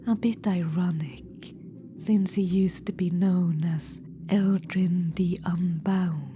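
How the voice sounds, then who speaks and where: A woman's voice: on the low side, fairly even intonation, slow pace. A woman voicing a scheming undead witch-queen in a whispered audio roleplay, confiding and fond. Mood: amused. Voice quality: soft whisper. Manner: confiding, fond, slyly amused. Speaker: ASMR roleplay performer. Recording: music underneath. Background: background music.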